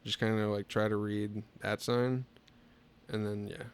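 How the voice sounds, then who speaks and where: A man in his twenties, a podcast guest, chatting casually and telling stories in a relaxed way, full of fillers. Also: lowish voice, measured pace, clear, resonant tone. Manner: relaxed storytelling, lots of filler "like". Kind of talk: casual chat.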